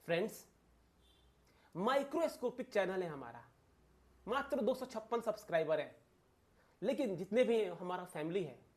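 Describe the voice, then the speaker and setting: A man with a notably high voice teaches exam material informally. He is emphatic, circles back to repeat key phrases and puts rhetorical questions to his viewers. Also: animated, moderate pace, long pauses. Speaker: exam coaching teacher and channel host. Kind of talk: informal exam-analysis lecture addressed to viewers.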